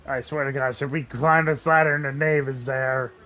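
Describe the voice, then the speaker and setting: A man's voice: mid-range voice, fairly even intonation, normal speed, bright, forceful tone. A man chatting casually as he streams a game and reacts to it.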